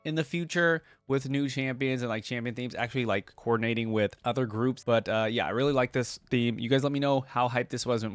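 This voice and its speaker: A man's voice: mid-range voice, no echo. A man hosting an off-the-cuff reaction video, talking informally and enthusiastically as he thinks aloud. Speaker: reaction video creator and host. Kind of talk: casual off-the-cuff reaction commentary.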